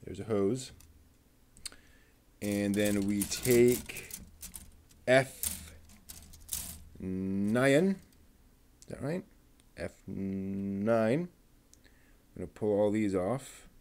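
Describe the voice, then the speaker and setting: A man's voice: lowish voice, animated, extremely slow, long pauses, darkish tone, slight background noise. A man thinking aloud and muttering to himself as he puts together a model kit, reading out part numbers in a casual running commentary. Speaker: show host.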